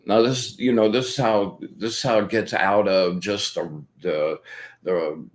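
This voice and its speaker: A man with a very low voice hosts a loose, chatty spiritual show. He rambles with plenty of fillers and restarts and gets excited as he explains his practice step by step. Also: measured pace. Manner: rambling and enthusiastic, many fillers. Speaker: host. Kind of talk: casual spiritual talk.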